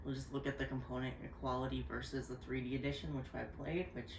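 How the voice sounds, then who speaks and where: A man's voice: medium pitch, level tone, fast talker, noisy background. A man co-hosting a board game review show. He explains game rules casually and hesitantly, with many "uh" fillers. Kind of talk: casual chat. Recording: roomy echo.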